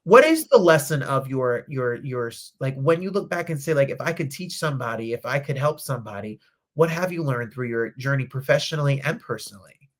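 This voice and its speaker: A man's voice: mid-range voice, animated, a little fast. An American man hosting a casual interview, effusive and encouraging, often rephrasing his questions as he goes. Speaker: interview host.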